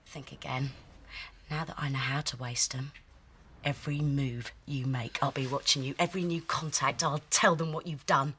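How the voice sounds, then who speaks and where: An actress playing a cold, vengeful woman who sneers and talks in a low, cutting hush. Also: steady tone, normal speed, a little hiss or hum. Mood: gloating. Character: cold, gloating woman seeking revenge. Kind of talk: TV soap acting.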